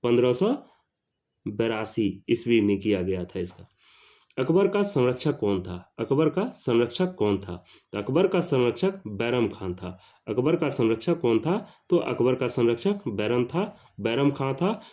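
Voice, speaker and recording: A man's voice: medium pitch, sing-song, a little slow, warm tone. A man teaches like an exam tutor, in a didactic question-and-answer manner, repeating each question and its answer. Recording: dull lo-fi sound.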